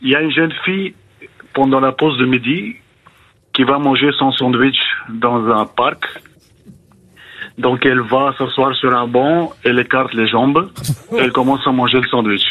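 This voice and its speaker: A man whose first language is Bulgarian, calling a radio show to tell a joke, halting and restarting as he goes. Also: mid-range voice, narrow, tinny audio. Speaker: caller. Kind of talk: telling a joke on a radio call-in.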